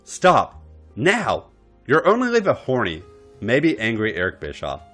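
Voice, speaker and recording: A man acts out a vulnerable young woman from a storybook romance. The delivery is pleading and yearning, with big pitch swings and music behind. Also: huge pitch swings, a little slow, a bit bright, full character voice. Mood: urgent. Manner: breathy, pleading.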